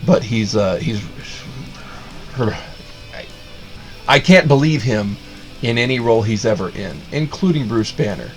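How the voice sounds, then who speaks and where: A man's voice: lowish voice, expressive, measured pace, long pauses, faint music behind. Sarcastic man ranting in a casual review. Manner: sarcastic, rambling, full of fillers and restarts. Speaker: video reviewer.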